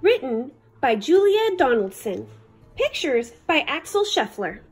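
A woman reading a rhyming picture book in an expressive, dramatic, rhythmic children's storytime style. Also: high voice, measured pace, soft background music.

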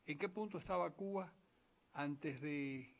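A middle-aged man moderates a book panel from a conference table, speaking through a stand mic. His voice is gravelly and sits slightly high. He talks in a measured way and sometimes restarts a phrase partway through. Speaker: moderator asking questions.

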